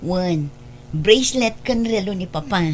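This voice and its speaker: A woman's voice: mid-range voice, expressive, a little slow. A woman acting in an Ilocano radio drama as a matter-of-fact mistress of the house, with an Ilocano accent. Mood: reassuring, doubtful. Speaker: drama actor.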